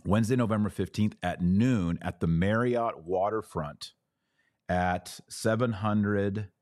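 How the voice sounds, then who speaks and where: A man with a low-pitched voice hosts a live show in a conversational, direct style. He urges his listeners along as he moves through comments and commentary. The sound is dry, with very little room in it. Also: unhurried, a little mellow, no echo. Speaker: podcast host. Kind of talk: casual live commentary, reading and answering viewer comments.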